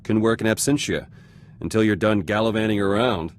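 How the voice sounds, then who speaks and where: A man's voice: fairly low pitch, fairly quick, a little hiss or hum, close to an everyday voice. A man playing an embittered, vengeful resistance fighter in a video game, speaking in terse, clipped fragments with dropped words. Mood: cold, resolute. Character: embittered male resistance fighter turned politician, hard and vengeful. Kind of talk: video game dramatic voice acting.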